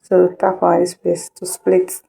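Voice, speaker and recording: A woman's voice: lowish voice, completely flat delivery, unhurried, gravelly, mellow, low-quality recording. A woman instructor giving an informal step-by-step tutorial, repeating instructions and checking in with listeners. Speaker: video editing instructor.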